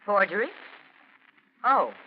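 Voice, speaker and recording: A woman's voice: mid-range voice, sing-song, slow pace. A woman acting a domineering, nagging wife who scolds and gives orders in a 1940s radio drama. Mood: brusque, bossy. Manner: sharp, commanding, scolding. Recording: dull, noisy 1940s radio recording.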